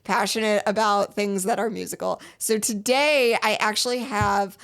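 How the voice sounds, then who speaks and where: A woman's voice: medium pitch, fairly even intonation, moderate pace, a bit bright. A woman in her thirties from Chicago, hosting a podcast in casual, playful chat and laughing often. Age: 30s. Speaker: podcast host.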